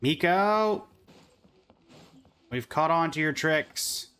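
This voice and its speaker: Low-key male game streamer. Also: animated, drawn-out words, pushed and bright, clear, resonant tone. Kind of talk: casual game playthrough commentary. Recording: faint game music behind.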